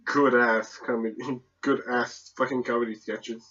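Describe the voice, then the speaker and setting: A man's voice: medium pitch, steady tone, measured pace, bright, forceful tone, breathy edge, muffled recording. A young man, chatting casually as a podcast guest, hesitating and repeating his words. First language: Spanish and French.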